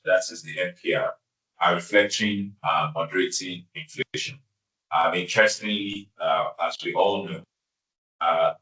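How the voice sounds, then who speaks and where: A man, speaking as an executive presenting financial results in a formal, halting, measured way. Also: deep voice, sharp tone. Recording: distant, echoey conference call audio.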